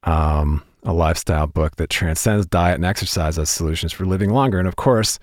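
A man's voice: low-pitched, a little fast, dry recording, clean studio sound. A man hosting an interview podcast, asking long, rambling, enthusiastic questions with many fillers. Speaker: podcast host.

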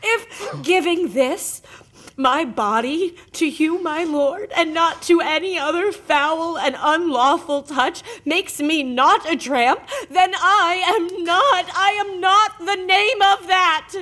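High-pitched, anguished young wife. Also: female voice, takes it slowly, bright, forceful tone, full character voice. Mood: desperate pleading. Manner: tearful, imploring, emphatic. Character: anguished, devout young wife wrongly accused, pleading innocence. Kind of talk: modern-verse Shakespeare radio drama acting.

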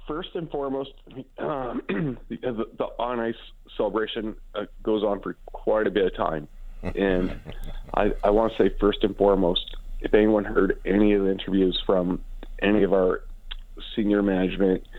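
A man with a hoarse, raspy voice after celebrating, answering casual interview questions in a halting way, repeating words as he goes. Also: fairly low pitch, steady tone. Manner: halting, repeats words as he gathers his thoughts. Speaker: interview guest, hockey team co-owner and businessman. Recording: dull, phone-like band-limited line.

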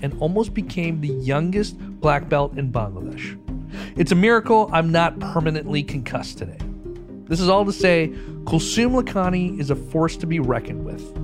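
A man narrates a scripted podcast opening in a wry, storytelling manner. His voice sits a little high for a man. Plucked guitar music plays clearly behind him. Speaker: podcast host.

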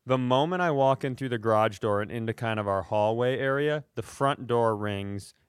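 A man tells a casual comic story in a smooth, clear voice with a very dry, close sound. He is self-deprecating and swears freely, in the style of a comedy podcast host. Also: medium pitch, moderate pace, dry recording.

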